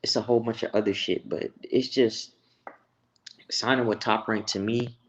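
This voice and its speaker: A man's voice: lowish voice, fairly even intonation, normal speed, dull audio. An American man from Texas giving blunt, profane opinions in a casual, slangy sports chat. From Texas, United States.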